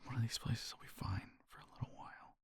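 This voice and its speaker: A man speaking softly and reflectively in a romantic ASMR roleplay, sighing often and sometimes dropping to a whisper, close to the mic. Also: fast. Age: older. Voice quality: soft, intimate, drifts into whispers.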